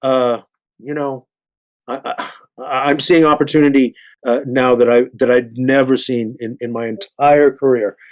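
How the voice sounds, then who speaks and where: Older man, halting and stammering. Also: mid-range voice, little pitch movement. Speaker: actor guest. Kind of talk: casual panel discussion.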